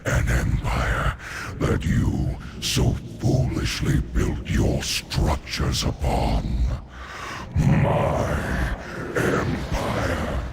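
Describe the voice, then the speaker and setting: Casting: cold undead tyrant for a fantasy game, abyssal gravelly voice, extremely slow and ominous, loud noise behind. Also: male voice, full character voice. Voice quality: gravelly, very deep. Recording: game audio under loud ambient noise.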